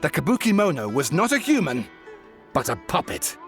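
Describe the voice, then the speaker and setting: Grandiose showman writer; gravelly, wildly swooping delivery, slightly high. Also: huge pitch swings, normal speed. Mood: theatrical. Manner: grandiose, teasing, theatrical. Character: theatrical showman writer, male adult, dramatic gossip. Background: game music.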